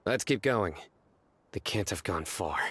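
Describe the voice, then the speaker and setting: A man's voice: on the low side, expressive, a little slow, breathy edge. A man playing a watchful, serious male guard in a video game, speaking tersely. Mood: determined. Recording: faint ambient noise behind.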